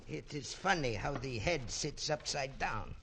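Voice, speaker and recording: An actor playing a sly, teasing trader in a 1940s radio drama, full of hesitant "uh"s. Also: male voice, mid-range voice, expressive, measured pace, background noise. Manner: hesitant, mocking, playful laughs. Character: sly Portuguese trader, middle-aged man, teasing.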